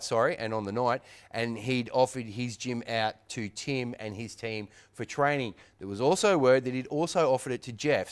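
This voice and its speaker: A man's voice: medium pitch, clear, resonant tone. A man hosting a boxing show, leading an upbeat casual rundown of fight week. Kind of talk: casual sports chat.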